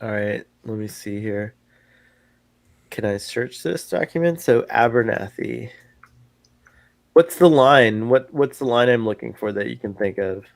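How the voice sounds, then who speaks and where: A man hosting a live stream, chatting casually in an excitable, rambling way and repeating himself. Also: mid-range voice, unhurried, vocal fry, long pauses, no echo. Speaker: stream host.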